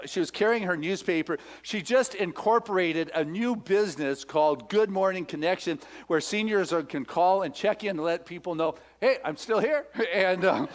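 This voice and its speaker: Upbeat high-pitched guy doing church announcements, chatty and laughing a lot. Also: a bit bright. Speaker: minister.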